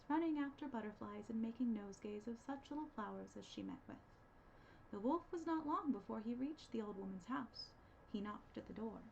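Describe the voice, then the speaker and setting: A woman reading scripted storybook narration as a librarian storyteller, with an expressive delivery. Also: on the high side, gravelly. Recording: dull, slightly noisy recording.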